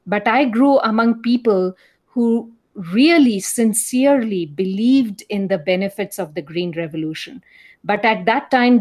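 A woman's voice: mid-range voice, a little mellow. A woman in her fifties from India with an Indian accent, speaking thoughtfully as an academic guest in an interview. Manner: thoughtful, reflective storytelling. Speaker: guest, associate professor. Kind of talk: conversational interview.

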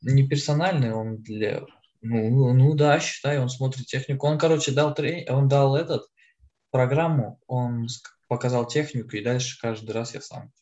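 A man's voice: mid-range voice, fairly even intonation, moderate pace, darkish tone, muffled recording. An eighteen-year-old man, co-hosting a podcast in a casual, conversational style. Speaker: podcast co-host.

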